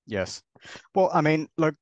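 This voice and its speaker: A man's voice: a little high, sing-song, takes it slowly, no echo, highs cut off. A middle-aged man, a journalist, giving conversational interview answers on a headset over a video call.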